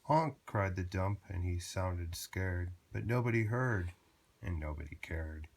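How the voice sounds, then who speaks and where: A man with a fairly low voice reads a children's storybook aloud. He takes it very slowly, in a measured rhythm that follows the rhymes. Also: noisy background. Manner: measured, rhyming read-aloud with short asides to a child.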